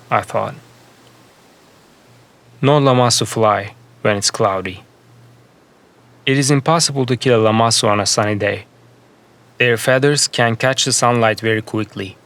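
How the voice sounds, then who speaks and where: A man narrating a scripted fantasy story in the first person, with measured, deliberate phrasing in short segments. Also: medium pitch, fairly even intonation, fairly bright tone.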